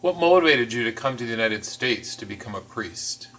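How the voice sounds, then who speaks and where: A man voicing a signed interview as interpreter, phrasing things in a measured, careful way with the occasional restart. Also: medium pitch, lively intonation, bright, forceful tone, far from the mic. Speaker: sign language voice interpreter.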